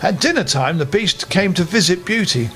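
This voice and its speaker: A man's voice: sits high, normal speed, faint music behind. An older man reading a fairy tale expressively to children as a storyteller, with friendly asides. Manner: animated, with friendly asides to young listeners.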